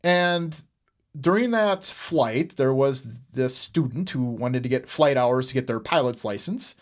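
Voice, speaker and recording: A middle-aged man with an American accent giving unscripted podcast commentary in an earnest, halting manner, often repeating words. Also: mid-range voice, lively intonation. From United States. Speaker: podcast host. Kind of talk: unscripted political commentary with personal stories.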